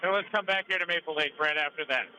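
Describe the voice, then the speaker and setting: An American man calling a high school game live on the radio as play-by-play announcer. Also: fast, pushed and bright, soft background music, rough recording. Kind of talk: live radio sports commentary. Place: high school gym broadcast position. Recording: faint noise behind live broadcast.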